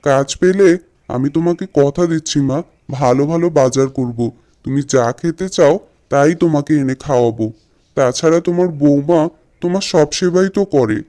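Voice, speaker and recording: Earnest, mellow-voiced grown son acted by a man. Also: fairly high pitch, normal speed, close to an everyday voice. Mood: pleading reassurance. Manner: earnest, reassuring. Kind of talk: Bengali cartoon voice acting.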